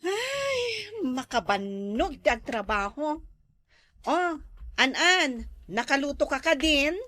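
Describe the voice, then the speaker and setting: A woman's voice: high voice, sing-song, takes it slowly, pushed and bright, exaggerated voice. A woman playing a bossy lazy older sister. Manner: bossy, demanding.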